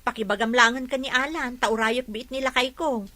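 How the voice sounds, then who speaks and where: Bright-voiced obliging Filipino neighbour. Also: female voice, a little high, brisk, dry recording. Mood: calm, obliging. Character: Paula, obliging, unhurried village neighbour woman. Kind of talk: radio drama acting.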